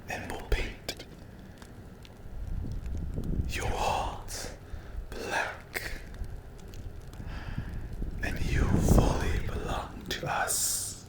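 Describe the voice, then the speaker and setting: A man voicing a menacing, sadistic demon captor in a whispered ASMR dark roleplay, breathy and gravelly, taunting with possessive, mock-tender threats. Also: close to an everyday voice. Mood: mocking menace. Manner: slow, drawn-out, taunting, threatening.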